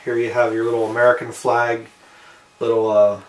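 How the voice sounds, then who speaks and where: A man giving a casual show-and-tell narration, unhurried and halting, often trailing off between short phrases. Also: mid-range voice, little pitch movement. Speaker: amateur video maker showing a collectible. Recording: roomy, distant mic with faint background noise.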